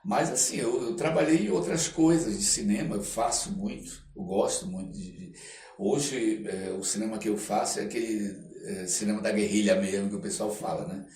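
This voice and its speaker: An older man with a Brazilian accent, telling anecdotes as an interview guest in a halting, reflective, casual way. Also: fairly low pitch, darkish tone, slight background noise. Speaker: interview guest, actor and screenwriter. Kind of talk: casual podcast storytelling. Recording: roomy echo, distant microphone.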